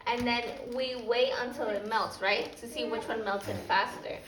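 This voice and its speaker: A woman's voice: a little high, fairly even intonation, moderate pace. A woman teaching young children as a preschool teacher, prompting them with simple, repeated questions and phrases. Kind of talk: teaching young children, question-led lesson and picture book read-aloud. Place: indoor classroom. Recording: roomy, distant mic, faint background noise.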